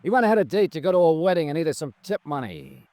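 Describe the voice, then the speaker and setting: Hard-boiled, sardonic radio detective. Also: male voice, on the high side, very animated, fast talker, warm tone, no echo. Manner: clipped, sardonic, tough. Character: wry, hard-boiled male insurance investigator. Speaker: lead actor and narrator. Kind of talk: old-time radio noir drama, first-person narration.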